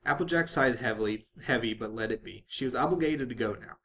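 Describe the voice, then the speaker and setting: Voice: mid-range voice, brisk, fairly bright tone, slight background noise. An amateur reader narrating a story aloud in the manner of a home-made audiobook. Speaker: fan fiction reader.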